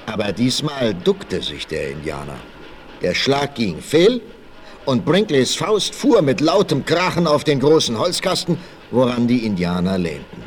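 A man's voice: mid-range voice, big pitch swings, unhurried. A man narrating a 1960s radio play as a dramatic, theatrical, suspenseful storyteller. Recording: vinyl record transfer with faint noise.

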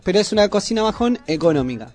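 Man, Argentine accent, high pitch, casual kitchen chat, colloquial host, affectionate diminutives. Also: big pitch swings, fast talker, darkish tone, soft background music. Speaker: host of a youth culture radio cooking segment.

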